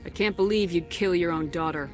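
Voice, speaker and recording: A woman's voice: lowish voice, fairly quick, music in the background, lightly acted. A woman playing a firm, direct military commander in a video game, with a clipped, commanding delivery. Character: firm, no-nonsense human military commander heroine.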